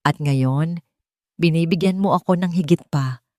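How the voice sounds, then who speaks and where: A woman storyteller voicing a tender, emotional husband and expectant father in a soft, earnest way. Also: deep voice, measured pace, no echo, clean studio sound.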